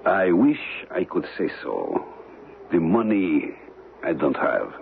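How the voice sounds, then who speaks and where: An actor playing a shady, calculating smuggler in a radio mystery, speaking deliberately and formally with dramatic pauses. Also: male voice, sits low, wildly swooping pitch, gravelly, full character voice. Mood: wary, suave. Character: shady, calculating male smuggler of stolen antiquities. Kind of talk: old-time radio mystery acting. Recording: muffled, noisy old radio broadcast recording.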